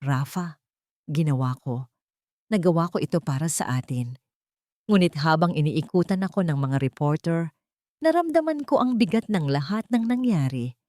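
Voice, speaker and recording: Female Filipino story narrator, slightly low register, scripted first-person drama, no room sound. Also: animated, moderate pace, pauses often, warm tone, no room sound, clean studio sound.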